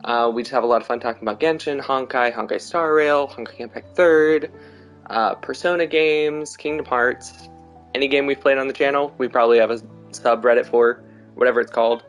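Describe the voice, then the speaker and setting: A man's voice: mid-range voice, brisk. A male streamer talks over game music in a mid-range voice. He is informal and chatty, giving running gameplay commentary as he plays. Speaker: game livestream host. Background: video game music.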